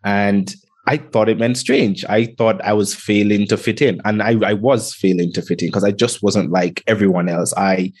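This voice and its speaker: A man's voice: on the low side, steady tone, normal speed, breathy edge. A young man from Antigua, a podcast guest telling his life story in a reflective way, often chuckling. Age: young adult. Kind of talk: casual interview storytelling.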